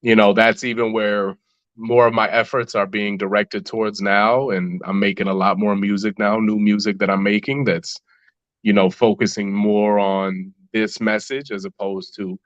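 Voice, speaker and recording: A man's voice: lowish voice, level tone, normal speed, no room sound, lo-fi sound. A man, a musician guest on a podcast, answering reflectively with many fillers and restarts.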